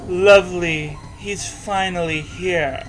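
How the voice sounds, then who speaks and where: A man's voice: high voice, steady tone, slow, a bit bright, faint music behind, poor audio. A man hosting a mocking read-aloud, sarcastic, slipping between reading and casual banter and voicing punctuation marks. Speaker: host reading fan fiction aloud.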